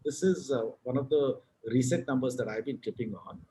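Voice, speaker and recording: A man's voice: medium pitch, fairly quick, warm tone, rough recording. A man with a South Indian accent, a guest on a video-call interview, talking in a frank, rambling way with restarts and casual swearing. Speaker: guest, advertising writer and author.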